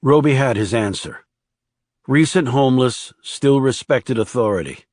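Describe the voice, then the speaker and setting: A man's voice: mid-range voice, lively intonation, unhurried, darkish tone, no echo. A man reading scripted thriller narration as an audiobook narrator.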